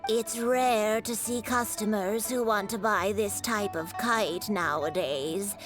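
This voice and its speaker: A woman voicing an older kite maker in a game, proud of her craft and speaking gently. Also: mid-range voice, close to an everyday voice. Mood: gentle. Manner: gentle, slow, storytelling. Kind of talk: game voice acting. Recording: game cutscene with music.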